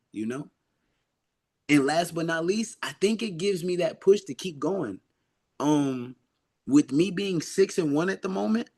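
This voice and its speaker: Earnest man telling his story in an interview. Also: on the high side. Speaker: amateur boxer being interviewed. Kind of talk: casual reflective interview.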